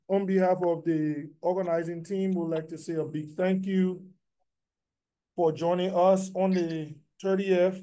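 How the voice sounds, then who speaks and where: A man from Liberia, giving halting closing remarks for an organizing team on a video call. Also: on the high side, dark tone, poor audio. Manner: halting, with fillers and restarts.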